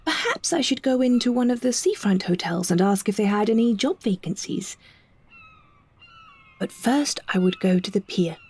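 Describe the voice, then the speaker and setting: A British woman reads a scripted first-person story with measured, expressive phrasing and careful delivery. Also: medium pitch, slightly rough. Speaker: narrator, solo performer of an audio story.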